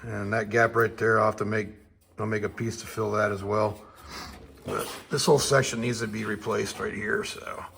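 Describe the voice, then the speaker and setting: A hoarse man who is losing his voice after being sick, talking casually through a repair job in his home garage, rambling with lots of fillers. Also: lowish voice, little pitch movement, normal speed, fairly bright tone, a little hiss or hum. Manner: rambling, easygoing, many fillers. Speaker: host of a home auto repair channel. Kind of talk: casual talk-through of a shop project.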